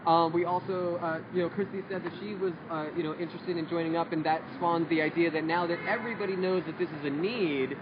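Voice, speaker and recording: A man reporting back for his discussion group at an outdoor protest assembly, halting and full of fillers. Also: fairly high pitch, steady tone. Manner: halting, with many fillers and restarts. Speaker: group spokesperson at a meeting. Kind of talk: casual report at a public assembly. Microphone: phone recording from the crowd. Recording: muffled phone audio with street noise.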